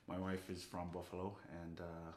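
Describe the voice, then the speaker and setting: A singer-songwriter from Fiji, a man, talking softly and casually between songs in a brewery barrel house. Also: monotone, normal speed, a little hiss or hum. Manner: soft-spoken, low-key. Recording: roomy brewery hall echo.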